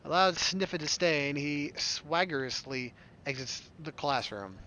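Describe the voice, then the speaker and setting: A man's voice: on the high side, measured pace, sharp tone, slight background noise. A man reading a visual novel aloud as a gaming host, adding casual, joking asides. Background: game music.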